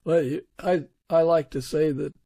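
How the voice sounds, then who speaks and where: Male interviewer, slightly high and raspy, casual conversational podcast hosting. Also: fairly even intonation, normal speed, mellow, no room sound. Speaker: podcast host.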